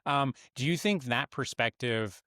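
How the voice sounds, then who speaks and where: A man's voice: medium pitch, measured pace, bright, forceful tone, no echo, studio quality. A man hosting a sports podcast, asking long, rambling questions with fillers. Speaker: podcast host. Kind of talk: interview questions.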